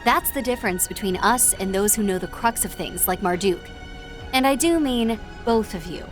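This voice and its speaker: An actress voicing a sharp, accusing woman in a fantasy video game. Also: medium pitch, normal speed, faint music behind, natural voice. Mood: pointed. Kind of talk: video game cutscene voice acting.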